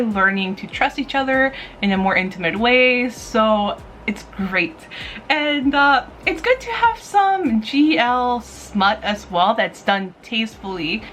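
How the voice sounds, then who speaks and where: A woman's voice: on the high side, fairly bright tone, slight background noise. A woman chatting casually to camera as a review vlog host, gushing, playful and informal. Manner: gushing, giggly, playful, informal with mild swearing.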